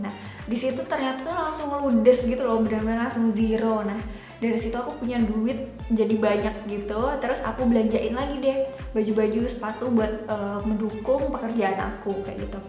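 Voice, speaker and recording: High-voiced young woman vlogger. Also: darkish tone. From Java, Indonesia. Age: 20s. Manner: informal, chatty, explanatory. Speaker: YouTube vlogger. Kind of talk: casual chatty vlog talk. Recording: echoey room with background music. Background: music.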